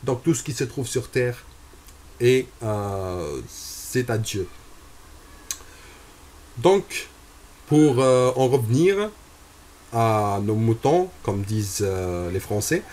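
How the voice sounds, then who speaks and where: A man in his twenties from Algeria, giving a personal religious testimony, slow and deliberate with frequent hesitations. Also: mid-range voice, darkish tone, slight background noise. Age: 20s.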